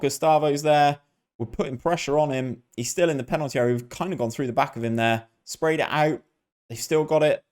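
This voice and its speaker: A man's voice: mid-range voice, a little mellow. A man hosting a gaming video, giving casual, chatty play-by-play and talking directly to his viewers. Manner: chatty, addresses viewers as guys.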